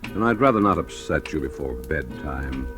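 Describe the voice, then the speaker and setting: A man's voice: rumbling low, big pitch swings, moderate pace. An older man acting a folksy, patronizing guardian in a 1940s radio drama, hesitant and evasive with a hint of menace. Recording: vintage 1940s radio recording, faint music.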